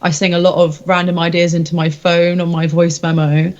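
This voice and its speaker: A woman's voice: lowish voice, little pitch movement, brisk, darkish tone, dull audio. A woman, a rock singer-songwriter, chatting casually in an interview in an informal, rambling, self-deprecating way.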